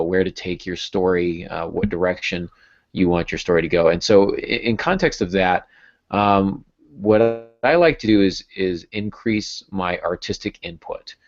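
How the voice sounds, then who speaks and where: A man in his thirties hosting a casual podcast, with a dryly self-deprecating way of talking. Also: deep voice. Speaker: podcast host. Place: small home room with bookshelves. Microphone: earbud headset on a video call. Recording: dull video-call audio.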